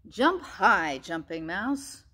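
A woman voicing a gentle, wise old magic frog with a gravelly voice, speaking slowly and with command. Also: mid-range voice, very animated, heavily acted.

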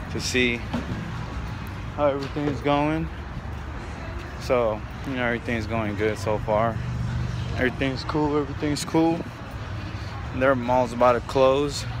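Young man vlogging outdoors, windy and noisy. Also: medium pitch. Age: 20s. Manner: informal, slangy, repetitive hustle pep talk. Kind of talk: casual motivational vlog chat. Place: outdoors at night in an open-air shopping mall. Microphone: handheld phone at arm's length. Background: wind and outdoor urban noise.

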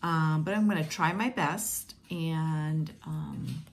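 A woman whose first language is Portuguese reads picture books aloud to children in a gentle, encouraging, singsong way. She is filmed at home on a close webcam. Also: sits low, slow, vocal fry, slight background noise. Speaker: teacher reading picture books. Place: home room with plants, indoors. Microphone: webcam at close range.

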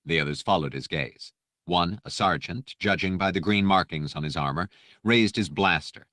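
A man reading a scripted audiobook story with measured, precise, dramatic narration. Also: low-pitched, bright, forceful tone, dry recording, studio quality.

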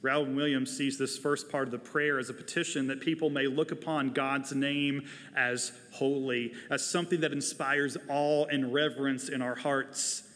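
An American pastor, a man in his forties, delivering a sermon in a measured, deliberate, emphatic way. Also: medium pitch, flat delivery, a bit bright. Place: church sanctuary, at a pulpit. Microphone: lapel microphone. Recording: reverberant large church hall.

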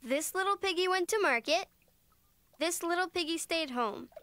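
A woman's voice: very high-pitched, expressive, moderate pace, bright, forceful tone, no room sound, heavily acted. A woman voicing a playful, eager young boy bear cub in a children's cartoon, with a bubbly, singsong way of talking. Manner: bubbly, singsong, giggly play-acting. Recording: music behind the voice.

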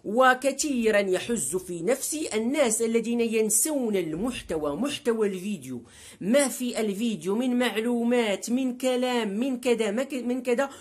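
A man's voice: very high voice, normal speed. A man in his thirties talks to his webcam from a sofa at home. He is emphatic and gestures constantly, and he repeats words to drive each point home. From Morocco. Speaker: vlogger. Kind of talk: direct-to-camera vlog monologue. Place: living room with a sofa, indoors.

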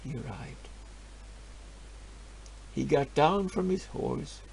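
An actor playing a grieving, stubborn peasant farmer, telling his story in a halting voice that swings from hushed to loud. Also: on the high side, lively intonation, vocal fry, slightly rough, full character voice, poor audio. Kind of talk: dramatic storytelling monologue.

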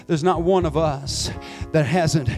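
High-voiced impassioned Pentecostal preacher man. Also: slow, soft background music. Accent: American. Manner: impassioned preaching, swelling to near-sung, shouted climaxes. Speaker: pastor. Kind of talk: Pentecostal sermon. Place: church sanctuary. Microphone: handheld microphone.

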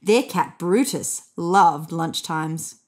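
A woman's voice: fairly low pitch, slow, a little mellow. A woman in her thirties reading a children's story aloud expressively as a narrator. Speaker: storybook reader. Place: indoors on a bed.

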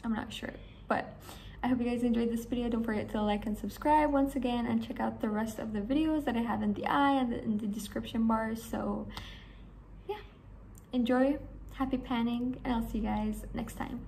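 A young woman with a hoarse voice, chatting enthusiastically to camera in a small room about her beauty products. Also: fairly high pitch, fairly even intonation, normal speed, a little mellow, a little hiss or hum. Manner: chatty, enthusiastic, rambling with fillers. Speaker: beauty vlogger. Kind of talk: casual talk to camera.

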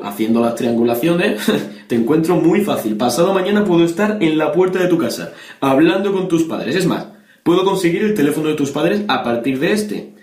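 A man's voice: a little high, a little mellow, natural voice. A young man from Spain playing a stern, preachy, threatening policeman father on a prank call, insistent and accusatory. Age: young adult. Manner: insistent, accusatory, repeating the listener's name. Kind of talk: prank phone call improvisation. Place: small bedroom, indoors. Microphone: webcam or camera at desk distance. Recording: roomy, echoey bedroom.

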